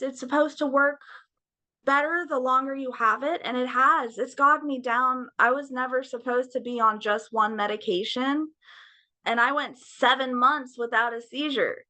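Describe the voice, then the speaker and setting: A young woman talking frankly in a casual interview, swearing freely, with a slightly raised, raspy voice. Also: sits high, a little slow, sharp tone. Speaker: podcast guest.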